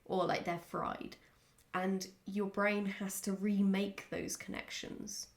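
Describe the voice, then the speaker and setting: A woman chatting casually in a question-and-answer vlog, speaking in a candid, reflective and somewhat halting way. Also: medium pitch, level tone, gravelly. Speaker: writer answering follower questions.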